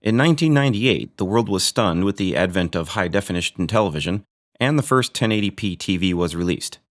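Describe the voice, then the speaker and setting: A man's voice: low-pitched, animated, a little fast. A man hosting a gear review video, narrating from a script with dry, joking asides.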